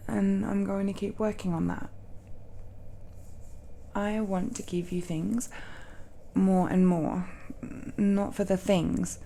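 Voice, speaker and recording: A woman playing an affectionate, nervous dragon-blooded young woman in an intimate romantic audio roleplay, speaking softly and haltingly. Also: mid-range voice, creaky, slight background noise, poor audio. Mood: tender, shy. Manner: hesitant, halting confession with nervous laughs and sighs.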